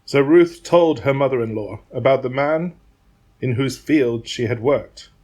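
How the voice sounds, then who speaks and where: A man's voice: mid-range voice, measured pace, darkish tone. A man narrating a dramatised Bible reading from a script.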